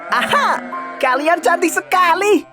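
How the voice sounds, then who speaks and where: A woman's voice: very high voice, animated, measured pace, music in the background. A woman voicing a mischievous, curious young boy in a children's storybook, exclaiming playfully. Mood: gleeful, playful. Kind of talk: children's storybook character acting.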